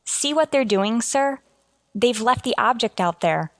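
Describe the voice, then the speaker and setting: A woman's voice: fairly high pitch, expressive, a little slow, dry recording, clean studio sound. A woman playing an eager, brave and deferential spaceship officer in a sci-fi radio drama, reporting in a clipped, respectful military way. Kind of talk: golden age sci-fi radio drama acting.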